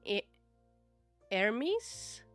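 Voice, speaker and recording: A woman chats unhurriedly with her stream viewers, drawling and in no rush. She rambles through her thoughts, padding them with fillers, and breaks into laughs often. Soft music plays faintly behind her. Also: on the high side, big pitch swings, very slow. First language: Czech. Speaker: live streamer. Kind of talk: casual chat with viewers.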